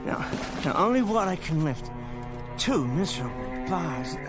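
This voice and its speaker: A man acting in a radio drama as an exhausted, bitter older professor turned thief, with formal, halting speech. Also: fairly high pitch, sing-song, loud music behind the voice. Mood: exhausted muttering. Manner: formal, educated wording, halting pleading.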